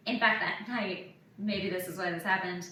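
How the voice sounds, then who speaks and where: A woman in her thirties hosting a casual podcast, telling candid personal stories in an animated way and acting out what people said. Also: mid-range voice, moderate pace. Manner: animated, acts out quoted remarks. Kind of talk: casual chat, candid anecdotes. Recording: echoey room, distant mic, faint noise.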